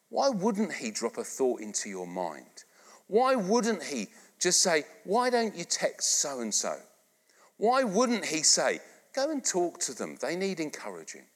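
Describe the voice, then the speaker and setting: A middle-aged English man preaches in a chatty, coaxing way, his pitch leaping high and low with every phrase. He takes his time over the words. The voice carries with a long reverb around the church hall. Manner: animated, conversational, asks the congregation to repeat lines. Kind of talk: sermon. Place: church hall, live congregation.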